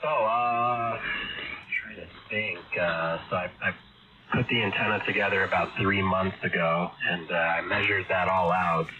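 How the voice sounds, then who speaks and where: A man chatting casually and haltingly as an amateur radio operator over a shortwave link. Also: deep voice, steady tone. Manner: hesitant, halting. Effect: heard over shortwave ham radio. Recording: muffled shortwave radio link, loud static.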